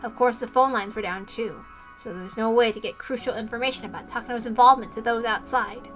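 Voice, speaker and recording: A woman's voice: on the high side, steady tone, fast, a bit bright, soft background music. A woman reading a visual novel aloud, informal and self-correcting, mixing narration with casual asides.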